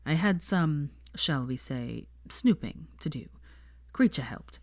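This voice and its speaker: A woman voicing a smug young wizard man who explains himself calmly, carefully and with unhurried self-assurance, as in a storybook. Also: low voice, no room sound, clean studio sound, full character voice.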